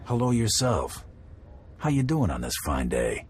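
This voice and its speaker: An actor playing a curt, deadpan bounty hunter who trades threats with criminals in a game cutscene. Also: male voice, lowish voice, unhurried, a little hiss or hum. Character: gruff male bounty hunter, dry and threatening. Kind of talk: video game cutscene acting.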